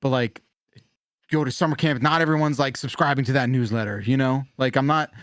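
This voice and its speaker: A middle-aged man hosting a call-in podcast in casual chat, often halting and restarting his sentences. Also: mid-range voice, fairly even intonation, no echo, clean studio sound. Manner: rambling, halting, self-interrupting. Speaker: podcast host of a call-in show.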